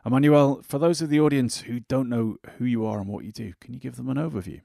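A man's voice: mid-range voice, quick pace, vocal fry, dark tone, no room sound. A man hosting a podcast, speaking in a scripted introduction style. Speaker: podcast host.

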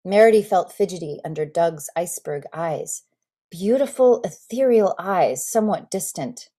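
A woman in her forties reads a memoir as an actor would, with expressive, deliberate phrasing and dramatic pauses, recorded indoors on a video call. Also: fairly low pitch. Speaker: actor reading a memoir excerpt. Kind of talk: dramatic literary reading, narrative storytelling.

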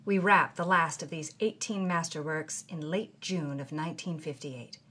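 A wry 1950s starlet narrating. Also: female voice, sits low, moderate pace, fairly bright tone, breathy edge. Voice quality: wry, breezy storytelling. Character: brash 1950s B-movie actress, woman, thirty. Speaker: narrator reading her journal. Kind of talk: scripted narration.

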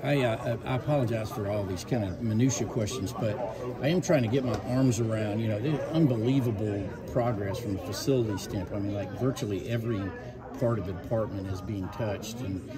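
A man reporter asking halting, hesitant questions. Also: fairly low pitch, distant mic. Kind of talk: press questions. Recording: off-mic amid loud crowd.

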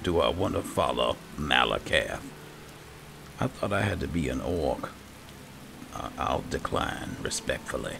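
A man's voice: extremely low pitch, very animated, unhurried, vocal fry, noisy background. A man giving casual roleplay commentary as a gaming video host, close on the microphone.

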